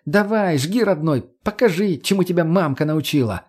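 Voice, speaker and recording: A man voicing a brash, foul-mouthed, mocking young woman in an audiobook, with crude, lively delivery. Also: on the high side, normal speed, slightly rough, voice pushed up. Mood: bossy, excited. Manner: crude, slangy, loudly expressive.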